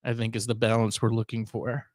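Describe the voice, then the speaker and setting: A man's voice: fairly low pitch, level tone, a little fast, a little mellow, no room sound, clean studio sound. A man hosting a podcast in casual, unscripted chat, with a wry, rambling, somewhat hesitant way of talking. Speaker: podcast host.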